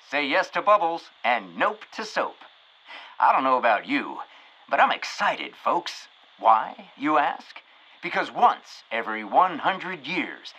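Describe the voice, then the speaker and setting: An actor playing a jaunty old-time radio show announcer, showy and folksy. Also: male voice, on the high side, bright, forceful tone, full character voice, low-quality recording.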